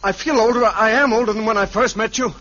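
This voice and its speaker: Earnest young lover, high-voiced, vintage recording. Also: male voice, fast talker, pushed and bright. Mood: frightened, confused. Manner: hesitant, emotional, earnest. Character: earnest, anguished young man in his twenties, regretful lover. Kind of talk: old-time radio drama acting. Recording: muffled vintage radio recording, music behind.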